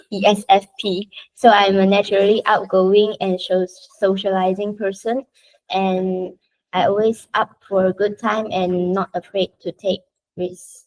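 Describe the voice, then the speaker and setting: Young woman, Chinese speaker, class self-introduction, chatty, frequent restarts, flat delivery. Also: medium pitch, unhurried, rough recording. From Malaysia. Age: young adult. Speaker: university student presenter.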